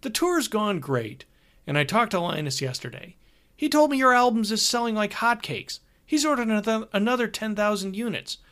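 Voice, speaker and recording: A man's voice: sits high, animated, moderate pace, sharp tone, pitched above the performer's own voice. A man voicing a pushy, upbeat older road manager who is brash and dismissive. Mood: boastful.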